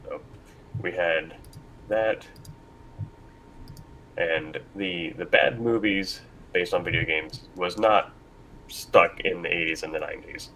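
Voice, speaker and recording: A man's voice: low-pitched, slow, pauses often, pushed and bright, a little hiss or hum, low-quality recording. A man moderating a casual panel discussion, speaking informally and a little hesitantly.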